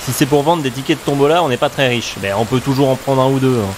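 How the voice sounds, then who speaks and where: A man's voice: mid-range voice, fairly even intonation, quick pace, noisy background, natural voice. A man playing a grumbling, nostalgic office administrator in an amateur audio drama, talking with exasperated sighs. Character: grumbling middle-aged male office administrator.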